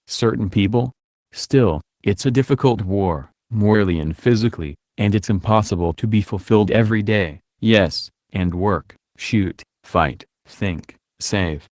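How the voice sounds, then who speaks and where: Male interviewer voiced by a man: low-pitched, measured voice-over questions in piecemeal phrases, recorded very dry. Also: darkish tone, no room sound, close to an everyday voice. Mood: measured, inquiring. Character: adult interviewer asking reflective questions. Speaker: dubbing voice of an interviewer.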